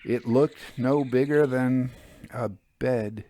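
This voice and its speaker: A man narrating classic literature as an audiobook, in a measured, formal and theatrical way. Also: mid-range voice, fairly even intonation, mellow.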